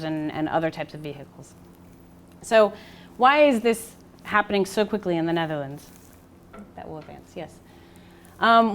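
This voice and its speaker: A woman's voice: mid-range voice, slight background noise. An American woman presenting a scientific seminar, explaining steadily with fillers and self-corrections. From United States. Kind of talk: academic presentation.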